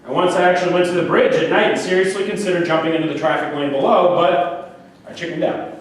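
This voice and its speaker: A man's voice: high voice, fairly even intonation, fast, lightly acted. Man acting defensive delusional patient, echoey distant recording. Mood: reflective. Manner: argumentative, rambling, self-justifying. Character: defensive, grandiose male psychiatric patient, flirtatious and delusional. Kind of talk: staged reading of a stage play. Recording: very echoey room, distant mic, faint noise.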